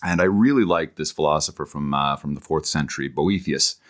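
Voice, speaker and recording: A middle-aged man with a very deep voice talks as a podcast guest. He explains things conversationally like an academic, often pausing and repeating words while he works out the thought. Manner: halting, repeats words as he thinks aloud.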